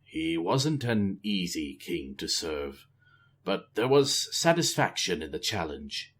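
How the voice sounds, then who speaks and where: A man voicing a reserved, guarded older male spymaster in a low, understated way. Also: big pitch swings, slow pace, fairly bright tone, heavily acted.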